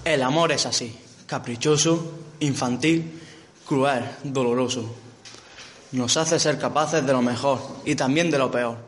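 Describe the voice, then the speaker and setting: Young man, scripted soap narration, mid pitch, long reverb, faint background noise, amateur recording. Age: teenager.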